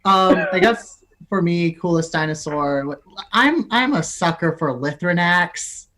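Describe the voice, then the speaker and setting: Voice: mid-range voice, expressive, slow pace, bright, forceful tone. A person chatting casually as part of a livestream on a group video call. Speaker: livestream participant.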